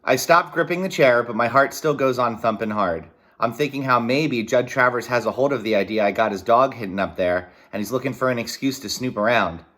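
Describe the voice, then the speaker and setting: Male read-aloud narrator, mid-range voice, folksy and expressive storytelling with informal asides. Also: medium pitch. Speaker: reader reading a children's novel aloud with side comments.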